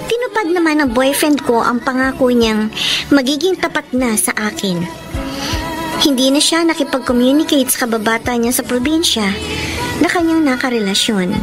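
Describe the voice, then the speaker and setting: A woman's voice: high voice, expressive, normal speed. A woman acting a heartbroken, earnest young student and girlfriend in a Tagalog radio drama. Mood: sad, reflective. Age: young adult. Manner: emotional, earnest delivery. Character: heartbroken young nursing student, loving, wounded, suspicious girlfriend. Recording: music bed under the voice.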